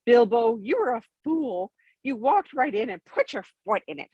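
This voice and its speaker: A woman's voice: fairly high pitch, big pitch swings, normal speed, slightly rough, dry recording, dull audio, voice pushed up. Woman putting on a prim, swooping little hobbit. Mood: rueful. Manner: indignant, prim, formal. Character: small, offended male hobbit standing on his dignity.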